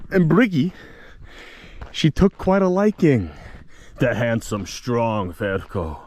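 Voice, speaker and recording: A man narrating a casual walking travel vlog, telling local tales in a playful, theatrical way with dramatic pauses. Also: mid-range voice, very animated, darkish tone. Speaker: vlog host and storyteller. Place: outdoors, walking in a hilly lakeside village and vineyards.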